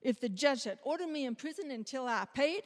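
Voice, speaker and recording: A woman playing a resolute nineteenth-century suffragist orator, speaking deliberately and emphatically in a readers theater performance. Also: high-pitched, lively intonation. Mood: defiant. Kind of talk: readers theater historical monologue, oratorical delivery. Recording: echoey hall with long reverb.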